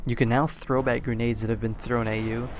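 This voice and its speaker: A slightly hoarse man giving casual solo commentary on a video game reveal, rambling, often correcting himself and admitting he is unsure. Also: medium pitch, level tone, a little fast, slight background noise. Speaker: gaming commentator.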